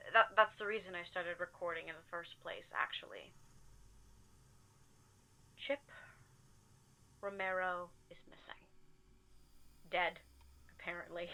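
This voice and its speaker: An actress playing a hesitant, grieving but stubbornly determined amateur recorder in an audio drama, speaking in a hushed, halting way. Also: female voice, on the low side, heavily acted, poor audio. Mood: defiant, determined.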